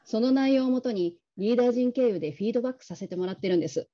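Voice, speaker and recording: A considerate female manager played by an actress with an extremely soft, dark tone. She speaks in a gentle, measured, courteous way, as in a radio drama. The sound is slightly muffled. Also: on the high side, lightly acted. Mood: earnest, measured. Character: polite, caring, discreet female call-centre manager.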